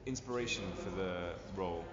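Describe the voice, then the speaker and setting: Slow, low-voiced man, echoey hall. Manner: measured, deliberate, with "you know". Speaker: actor interviewed. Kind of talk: casual interview answers. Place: indoor room on a sofa. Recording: echoey hall, dull sound, crowd noise.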